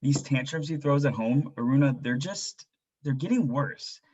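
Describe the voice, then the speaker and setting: Man acting husky, worried father, muffled audio. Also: medium pitch, normal speed, darkish tone, lightly acted. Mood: worried, frustrated. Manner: pleading, earnest. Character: worried, frustrated stay-at-home father and husband. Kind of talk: staged reading of a contemporary drama.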